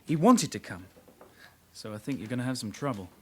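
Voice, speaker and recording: An actor playing a calm, persistent young detective constable with an English accent and a measured, dry manner in a radio drama. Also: male voice, medium pitch, husky. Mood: matter-of-fact. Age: young adult.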